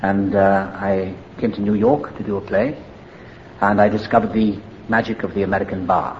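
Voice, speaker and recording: A Welsh man from South Wales giving a candid, self-deprecating personal testimony as guest speaker at a recovery meeting, speaking reflectively with pauses from a podium microphone. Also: fairly low pitch, flat delivery, background noise. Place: large meeting hall. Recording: echoey hall, dull lo-fi recording.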